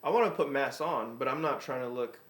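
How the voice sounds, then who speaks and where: A man's voice: medium pitch, fairly quick, a bit bright, echoey room. A man in his twenties co-hosting a casual podcast, reflective and asking his co-hosts follow-up questions. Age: 20s. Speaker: podcast co-host.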